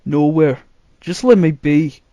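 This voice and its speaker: Man playing Jethro, a resolute, slightly high-voiced young idealist. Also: takes it slowly, dark tone, no room sound, muffled recording. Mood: irritated. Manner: assertive, earnest.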